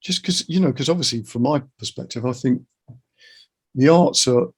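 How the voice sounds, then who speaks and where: A middle-aged man with a husky, gravelly voice talking earnestly and reflectively in a casual interview, offering his opinions. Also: medium pitch, moderate pace.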